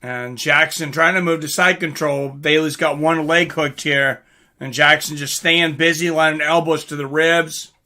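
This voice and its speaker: A man's voice: fairly high pitch, steady tone, measured pace, bright, forceful tone. A man giving casual live fight commentary in an informal, conversational way, like a livestream host.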